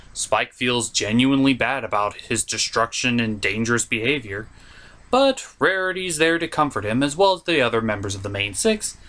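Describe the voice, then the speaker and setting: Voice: medium pitch, a bit bright. A host of a puppet review show narrating a scripted comic episode recap in a theatrical, mock-dramatic manner.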